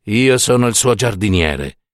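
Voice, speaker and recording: A man voicing Death as a solemn, cold, stern gardener of souls in a storybook reading, grave and measured. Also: fairly low pitch, animated, no echo, studio quality, voice pushed down. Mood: solemn, implacable.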